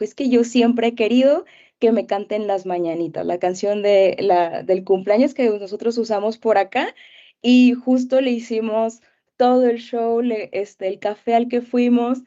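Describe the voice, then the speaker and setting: A young Mexican woman teacher answering interview questions in a casual storytelling way, with many pauses and fillers. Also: fairly high pitch, warm tone, highs cut off.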